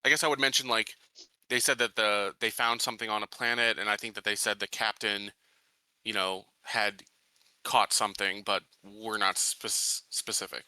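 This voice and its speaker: Very bright, low-pitched man, casual player. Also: fairly even intonation, normal speed, no room sound. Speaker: tabletop game player. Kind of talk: casual in-game discussion.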